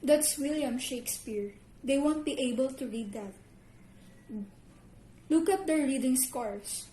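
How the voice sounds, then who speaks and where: Woman playing a cynical high-voiced school official, echoey. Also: slow pace, mellow. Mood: dismissive. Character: cynical, dismissive school librarian or administrator guarding her books. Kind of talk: amateur one-act play acting. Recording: echoey room with background noise.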